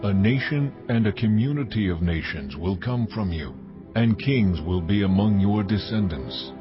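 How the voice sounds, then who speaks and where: A man voices a majestic God in a scripture drama. The voice is low, slow and weighty with solemn authority, over music on a slightly dull recording. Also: lively intonation, natural voice. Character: almighty God, solemn, majestic male deity. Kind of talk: dramatized audiobook scripture acting. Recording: muffled audio under music.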